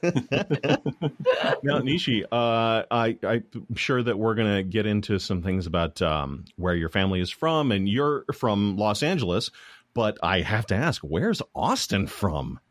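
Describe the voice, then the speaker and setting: An American man hosting a casual comedy interview, feeling his way haltingly through rambling questions. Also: fairly low pitch, big pitch swings, dry recording, studio quality. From United States. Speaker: show host, interviewer.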